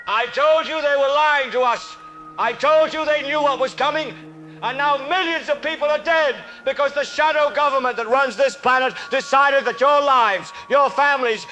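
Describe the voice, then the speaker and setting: Man acting a high-pitched, urgent conspiracy broadcaster. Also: pushed and bright. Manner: urgent, accusatory, rhetorical. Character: fervent paranoid male conspiracy radio broadcaster. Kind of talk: apocalyptic radio drama monologue.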